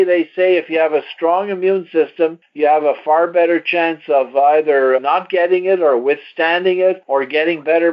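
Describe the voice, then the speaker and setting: A man hosting a testimonial call in an informal, folksy, exhorting way, like someone making a plain-spoken pitch to listeners. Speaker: host of a testimonial conference call. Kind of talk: informal promotional talk. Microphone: telephone. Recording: dull lo-fi conference phone line.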